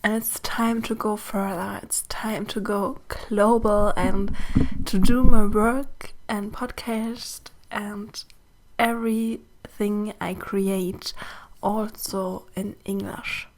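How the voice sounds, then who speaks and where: A German woman, a native German speaker, hosting a podcast in English in a soft, gentle, often breathy and hushed manner. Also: medium pitch, fairly even intonation, slow pace, rough recording. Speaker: podcast host and mentor. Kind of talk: slow reflective solo podcast talk.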